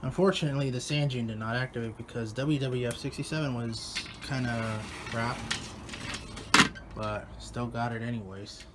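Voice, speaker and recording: A man with a medium-pitched voice talks casually to viewers in an offhand mutter. It is an unscripted hobby vlog caught on a phone, with clear noise around him. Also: moderate pace. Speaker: radio hobbyist filming videos. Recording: noisy phone video recording.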